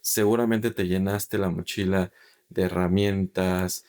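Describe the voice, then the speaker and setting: A man's voice: low-pitched, fairly even intonation, a little slow, a little mellow. A man hosting a podcast, asking a guest long, thoughtful questions. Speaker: podcast host. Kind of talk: interviewing a guest.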